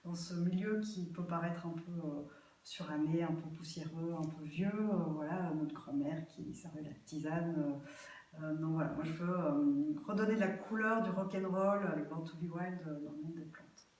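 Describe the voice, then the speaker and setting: A woman from Belgium giving spontaneous interview answers as a guest, thinking aloud with hesitant pauses. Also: sits low, fairly even intonation, a little mellow. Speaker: interview guest, aspiring herb grower. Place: echoey festival venue. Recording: very echoey room, distant microphone.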